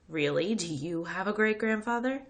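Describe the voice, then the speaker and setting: A woman voicing a naive, friendly schoolboy from a children's book, earnest and excitable. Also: medium pitch, moderate pace, natural voice. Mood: amazed. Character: naive, friendly, eager little schoolboy, proud and trusting.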